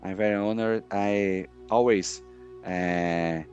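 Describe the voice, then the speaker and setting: A middle-aged Brazilian man whose first language is Portuguese, speaking English with a Brazilian accent and often pausing to search for words on a video call. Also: fairly low pitch, fairly even intonation, a little mellow, clear, resonant tone, highs cut off, faint music behind. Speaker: guest, sports lawyer. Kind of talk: podcast interview.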